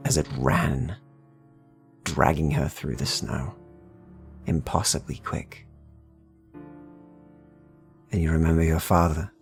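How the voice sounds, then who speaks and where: A man narrating dark fantasy as a game master, deliberate and ominous, pausing between short phrases. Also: very deep voice, vocal fry. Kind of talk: slow dramatic second-person dark fantasy storytelling.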